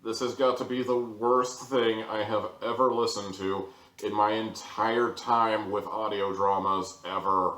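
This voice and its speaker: A man with a fairly low voice delivers an informal critical rant as a reviewer. He sounds exasperated and theatrical, stretching words for emphasis. The recording is roomy and distant, with some background noise. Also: fairly even intonation, a little slow, fairly bright tone. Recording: echoey room, distant mic, faint noise.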